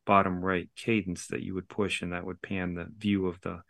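A man's voice: low voice, little pitch movement, normal speed, vocal fry, fairly bright tone, dry recording, clean studio sound. A man, a company founder, patiently explaining how a braille device works, step by step, on a call-in show.

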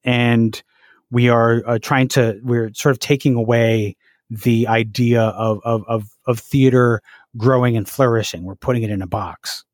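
A man's voice: medium pitch, fairly even intonation, unhurried, no echo, clean studio sound. A man hosting a podcast, speaking hesitantly with many fillers and restarts. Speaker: podcast host. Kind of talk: podcast interview.

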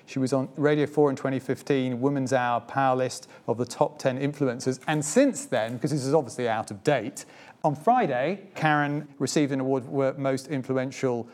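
A man's voice: mid-range voice, normal speed. A man hosting an evening talk at a museum, formally introducing his guest from notes and then interviewing her. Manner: formal, reads from notes, gently humorous. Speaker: host of a talk event. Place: museum event space before an audience.

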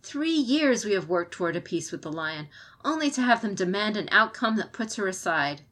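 A woman's voice: mid-range voice, big pitch swings, normal speed, fairly bright tone. A woman playing a fiery defiant advisor. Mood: impassioned. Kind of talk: audiobook character reading.

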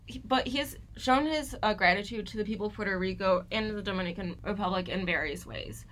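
A woman's voice: mid-range voice, a little fast, fairly bright tone. A young woman co-hosting a casual discussion, explaining earnestly with a giggly edge. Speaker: podcast co-host.

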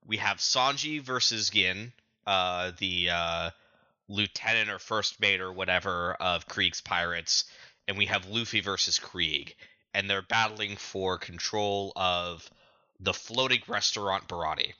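Male podcast co-host. Deep, sharp-edged voice, stop-start casual storytelling, slightly lo-fi sound. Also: measured pace, clean tone, no room sound. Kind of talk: casual recap of manga chapters.

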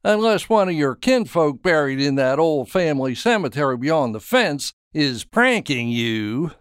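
Guy narrator doing older husband in a radio story, folksy n teasing, voice up high, swoopy. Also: big pitch swings, measured pace, clear, resonant tone, dry recording, clean studio sound.

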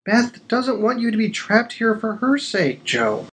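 A man's voice: high-pitched, sing-song, a little slow, fairly bright tone, a little hiss or hum, full character voice. A man acting in a modern audio drama as an eager, teasing, devoted young man, boyish and coaxing. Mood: coaxing, excited.

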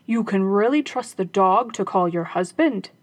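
A woman voicing a band of robbers in a fairy tale, speaking loudly and bluntly. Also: medium pitch, expressive, moderate pace, dry recording, close to an everyday voice. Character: band of gruff robbers, grown men.

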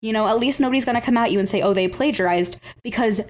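A woman's voice: on the high side, fast talker. A woman co-hosting a podcast, giving advice in an informal, emphatic, conversational way. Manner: informal, emphatic, acts out example dialogue. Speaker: podcast co-host.

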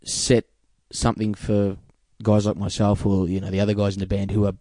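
A young man, a guest musician, talking in a hesitant, halting, agreeable way. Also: on the low side, completely flat delivery, mellow, no echo. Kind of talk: casual interview chat.

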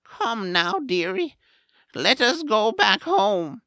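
Slow, lilting motherly mammy, acted by a woman. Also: on the high side, big pitch swings, a bit bright, dry recording, clean studio sound, full character voice. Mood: soothing. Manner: soothing, drawn-out. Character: gentle, motherly Mammy comforting her child. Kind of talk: audio drama acting.

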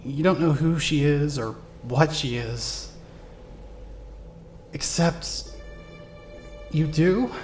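A man narrates in the second person, measured and intimate. His fairly high voice rings in a roomy, echoey space. Quiet music plays beneath him.

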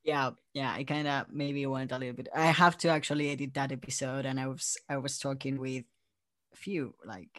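A man's voice: mid-range voice, fairly even intonation, a little fast, bright, forceful tone, no echo, studio quality. A man from Spain with a Spanish accent, chatting casually as an interview guest and acting out his own thoughts as he goes. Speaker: interview guest, audio drama creator.

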